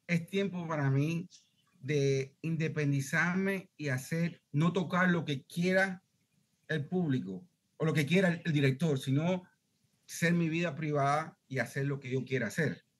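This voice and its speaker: Middle-aged Cuban man, earnest student on a headset call, slightly high voice. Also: unhurried. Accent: Cuban Spanish. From Cuba. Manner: earnest, talkative, keeps checking he is understood. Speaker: student asking a question. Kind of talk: video call Q&A. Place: home room, indoors.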